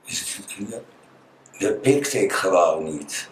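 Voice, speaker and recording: A Dutch man talking as an interview guest, telling personal stories conversationally and halting as he searches for words. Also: deep voice, expressive, a little hiss or hum. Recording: echoey, reverberant room.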